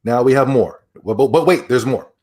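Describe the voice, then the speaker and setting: Rambling podcast host man, mid-pitched. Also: normal speed. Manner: rambling, loose and excitable, with many repeats. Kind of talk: casual chat reacting to videos and donations.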